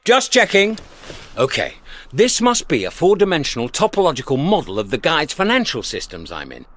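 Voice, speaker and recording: A man's voice: a little high, moderate pace, full character voice. A man playing a cocky, sardonic alien researcher in a comic radio drama. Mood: wry, nervous. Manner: sardonic, excitable. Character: cocky, sardonic, quick-witted male alien hitchhiking researcher.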